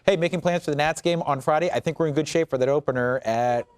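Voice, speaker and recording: A man's voice: a little high, fast, clear, resonant tone, dry recording. A man with an American accent giving a live morning weather report in an informal, joking, chatty way. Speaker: TV weather forecaster.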